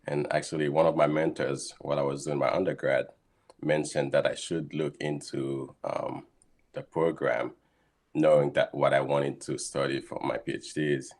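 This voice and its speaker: A man's voice: very deep voice, little pitch movement, normal speed, a little mellow. A man, a guest scientist explaining his work steadily in a casual interview over a video call. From Haiti. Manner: thoughtful, explains steadily with frequent ums.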